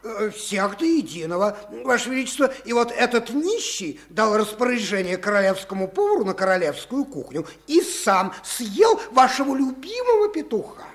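Dithering, animated court lord. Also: male voice, sits high, normal speed, hardly any pauses. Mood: nervous. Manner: hesitant, dithering. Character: nervous, stammering, scandalised court lord. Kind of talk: Soviet radio play acting.

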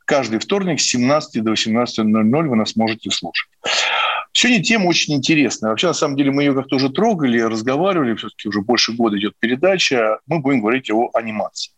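Man, middle-aged, talk radio host, husky edge, dry close recording, probing conversational delivery. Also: mid-range voice, lively intonation, quick pace, fairly bright tone, dry recording. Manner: conversational, probing, prompts with tag questions. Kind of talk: live radio interview.

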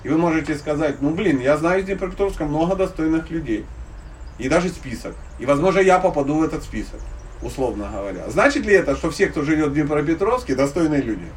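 A man's voice: on the high side, animated, quick pace. A man from Ukraine giving an informal religious lecture to a group, conversational and blunt, with slangy wording and humorous illustrations. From Dnipropetrovsk, Ukraine. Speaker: spiritual teacher giving a lecture. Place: live talk to a listening group. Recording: distant microphone, faint background noise.

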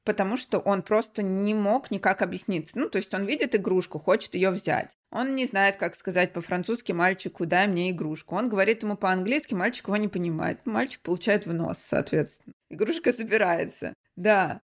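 A woman guest on an interview podcast, a teacher of English, telling her story in a lively, conversational way with frequent fillers. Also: mid-range voice, a little fast, few pauses. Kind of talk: casual interview talk.

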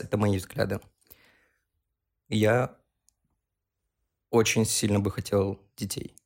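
A man's voice: fairly low pitch, a little mellow. A man in his early twenties chatting on a podcast, explaining his views earnestly and at length. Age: 20s. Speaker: podcast co-host. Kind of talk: casual podcast chat.

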